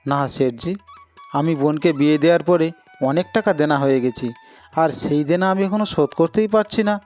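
A man acts out a humble village labourer for a cartoon tale, a devoted elder brother with a fairly high male voice. He speaks earnestly and deferentially, pleading gently, over soft music. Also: brisk, lightly acted. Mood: earnest, weary. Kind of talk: Bengali moral-story cartoon acting.

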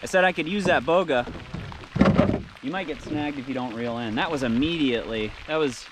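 A man's voice: medium pitch, a little fast, heavy background noise. Young man fishing from a canoe. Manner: excited, explains tackle plainly. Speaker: angler in canoe. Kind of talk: casual outdoor chat. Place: canoe on a lake, outdoors. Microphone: action camera on boat.

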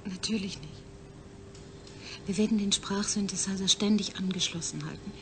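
A woman's voice: medium pitch, normal speed, background noise, lightly acted. A woman playing a caring scientist who patiently soothes her patient in a radio drama. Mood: soothing. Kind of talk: German radio drama acting.

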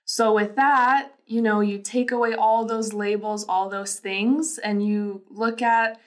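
A young woman from Lithuania co-hosting a podcast, explaining things in a calm, measured way. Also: mid-range voice. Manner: calm, measured, frequent "you know". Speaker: podcast co-host. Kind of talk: casual podcast chat. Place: small bright studio room, indoors. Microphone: desk microphone.

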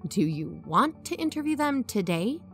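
A woman's voice: mid-range voice, sing-song, moderate pace, a bit bright, soft background music. A woman acting as a practical, blunt podcast co-host in a conversational audio drama. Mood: impatient.

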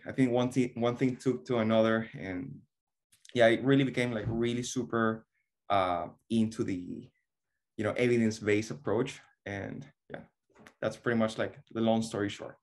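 Young man. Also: fairly low pitch. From Venezuela. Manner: earnest, hesitant restarts, many fillers. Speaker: guest, online fitness coach. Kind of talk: casual video-call interview. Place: small room at home. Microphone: webcam or laptop mic on a video call.

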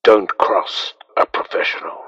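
An actor playing a cold, confident bounty hunter in a raspy voice, speaking in terse, threatening lines. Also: male voice, measured pace, pushed and bright. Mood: cold menace. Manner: terse, threatening one-liners. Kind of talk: film and game villain acting. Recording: muffled soundboard clips over phone.

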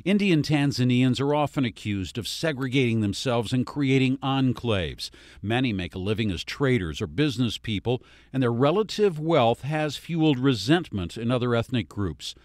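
Man, news presenter, scripted narration, measured formal tone, medium pitch. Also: animated, a little mellow, dry recording, clean studio sound.

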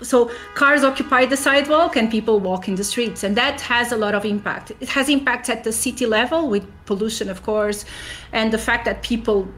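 A woman's voice: mid-range voice, fairly bright tone, slight background noise. A woman researcher giving explanatory answers in an interview. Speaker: academic researcher being interviewed.

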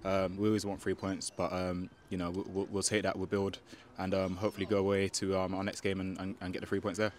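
A man's voice: low voice, monotone, fast, slight background noise. A young man, a football player giving a post-match interview outdoors into a handheld mic, hesitant with many fillers and restarts. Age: young adult. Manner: hesitant, many fillers and restarts, keeps asking "do you know what I mean". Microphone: handheld microphone.

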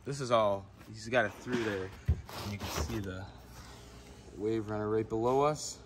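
A man's voice: fairly low pitch, moderate pace. A man casually explaining and asking questions off the cuff as he films with a handheld camera. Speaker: camera operator and interviewer. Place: indoors in a wooden barn workshop. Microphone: handheld camera or phone mic. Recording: noisy handheld recording in workshop.

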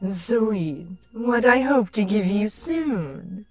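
A woman voicing a lovestruck female changeling villain in a dreamy, drawn-out manner. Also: medium pitch, expressive, faint music behind, heavily acted. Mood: dreamy adoration.

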